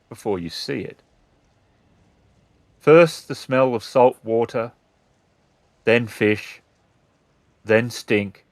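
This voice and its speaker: A man narrating a tabletop roleplaying game as storyteller, describing scenes in measured, deliberate phrases. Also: medium pitch, no echo.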